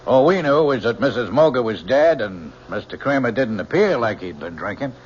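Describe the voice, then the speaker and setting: An actor in an old-time radio drama playing a deferential police sergeant who testifies in a halting way. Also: male voice, medium pitch, animated, close to an everyday voice. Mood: deferential, matter-of-fact. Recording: muffled old radio recording, faint noise.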